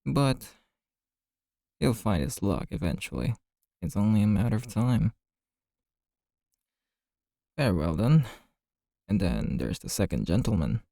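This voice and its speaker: A man playing a chatty, easygoing bartender in an ASMR roleplay, telling gossipy stories in a casual, friendly, unhurried way. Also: lowish voice, big pitch swings, gravelly, warm tone, no echo, clean studio sound, exaggerated voice. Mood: amused, sympathetic.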